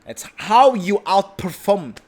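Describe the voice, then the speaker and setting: A man's voice: sits high, lively intonation, slow pace. A young man giving an emphatic motivational talk, repeating his points and often asking "right?". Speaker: motivational speaker. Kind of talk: motivational monologue.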